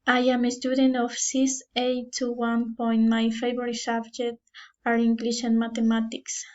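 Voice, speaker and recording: Slow, flat-voiced Spanish-accented woman student. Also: on the high side. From Ecuador. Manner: halting, with restarts and self-corrections. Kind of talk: reading a prepared class presentation. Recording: dull lo-fi recording.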